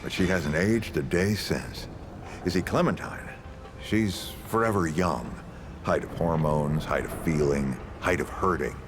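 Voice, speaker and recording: An actor playing an old, folksy, world-weary leader and storyteller in a video game, with a gravelly voice and unhurried delivery. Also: male voice, low-pitched, noisy background. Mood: reflective. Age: older. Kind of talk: video game character dialogue.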